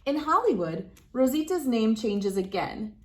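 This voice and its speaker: Expressive woman reading a picture book aloud. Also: a little high. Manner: dramatic, deliberate phrasing with emphasis. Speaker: read-aloud host.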